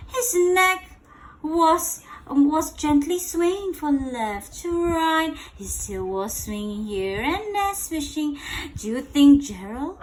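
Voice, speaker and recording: A woman reading a picture book aloud to a child in an animated way, pausing to ask questions and repeating phrases. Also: high-pitched, poor audio. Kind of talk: interactive bedtime read-aloud.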